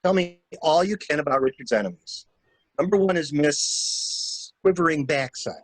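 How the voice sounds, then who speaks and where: A man's voice: on the high side, moderate pace, no room sound, lightly acted, low-quality recording. A man in a dramatic mystery reading, playing a shrewd stranger who questions a widow with wry, insistent probing. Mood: wry, calculating. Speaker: library staff reader.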